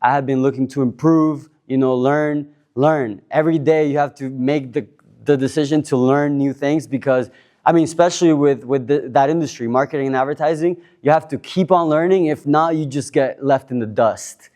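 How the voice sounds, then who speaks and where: A man in his twenties from Cuba, introducing himself on a panel in a relaxed, rambling way with many fillers and restarts. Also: medium pitch, normal speed. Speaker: panel speaker. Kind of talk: casual self-introduction at a panel.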